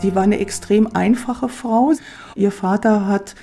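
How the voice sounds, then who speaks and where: A woman's voice: mid-range voice, fairly even intonation, unhurried, gravelly. A woman explaining conversationally as an interviewee. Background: piano music.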